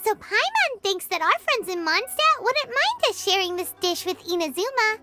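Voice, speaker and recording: A woman voicing a tiny, cheerful fairy-like child companion in a video game, with a squeaky childlike voice and bubbly manner. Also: sing-song, normal speed, exaggerated voice. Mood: upbeat. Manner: bubbly, speaks of herself in third person. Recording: loud music under the voice. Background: game music.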